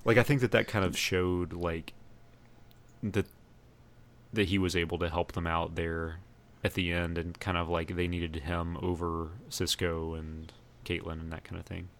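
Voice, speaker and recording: A man co-hosting a podcast, talking casually about TV in a measured, thoughtful, conversational way. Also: sits low, flat delivery. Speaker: podcast co-host. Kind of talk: casual chat about TV shows.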